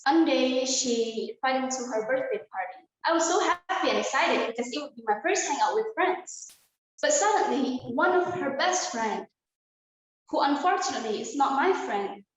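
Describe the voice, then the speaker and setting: High-voiced young woman giving a speech. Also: heavy reverb, rough recording. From Indonesia. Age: young adult. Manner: earnest, addresses audience directly. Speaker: student speaker. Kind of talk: prepared inspirational speech. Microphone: video call.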